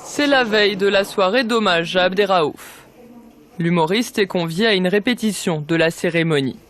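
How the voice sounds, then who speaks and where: A woman's voice: on the low side, moderate pace. A woman reporter delivering scripted documentary narration.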